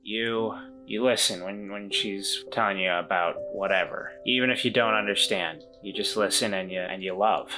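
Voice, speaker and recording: Woman voicing a deep-voiced remorseful weasel man. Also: over music. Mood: encouraging. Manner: halting, reflective, gently encouraging.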